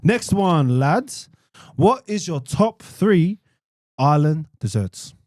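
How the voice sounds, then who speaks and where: A man's voice: fairly high pitch, slow, no echo, studio quality. A man from Australia co-hosting a podcast, chatting casually and repeating his points with lots of "bro". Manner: repetitive, animated, calls others bro. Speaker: podcast co-host. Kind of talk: casual chat.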